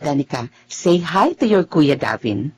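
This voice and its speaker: An actress in a Filipino radio drama playing a gentle, motherly woman who talks in a soothing, expressive way. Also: low voice, unhurried, breathy edge, heavily acted. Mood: warm, welcoming.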